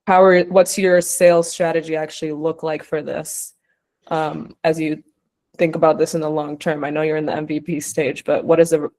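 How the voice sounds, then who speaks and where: Low-voiced woman giving feedback. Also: warm tone. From Uganda. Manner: probing, conversational. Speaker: investor judging pitches. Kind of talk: spontaneous feedback and questions.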